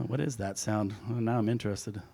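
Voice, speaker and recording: A man, a music producer, giving an informal, rambling hands-on presentation, talking through each step aloud as he goes. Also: fairly low pitch. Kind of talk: casual hands-on audio production talk.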